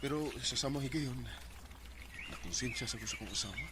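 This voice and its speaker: A man's voice: mid-range voice, fairly quick, close to an everyday voice. Guy acting as a guilty married man in a bisaya radio drama, cebuano accent, hesitant, really noisy audio. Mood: troubled, confessing. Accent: Filipino, Cebuano Bisaya.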